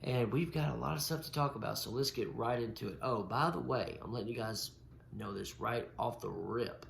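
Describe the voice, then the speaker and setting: A man's voice: medium pitch, fairly quick, creaky, a bit bright. A man with a stutter, co-hosting a casual podcast, often repeating and breaking off sounds. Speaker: podcast co-host. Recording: roomy echo with faint noise.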